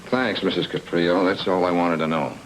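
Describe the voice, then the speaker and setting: An actor playing a cynical, unflappable private detective in a noir radio drama, with dry, clipped, sardonic delivery. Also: male voice, deep voice, fairly even intonation, normal speed, slight background noise, close to an everyday voice, rough recording. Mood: cool, dry. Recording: old-time radio drama, music underneath.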